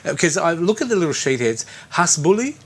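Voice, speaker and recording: A man's voice: a little high, very animated, brisk, husky. A man hosting a casual interview show, chatty and easygoing, sometimes stumbling over names. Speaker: talk show host.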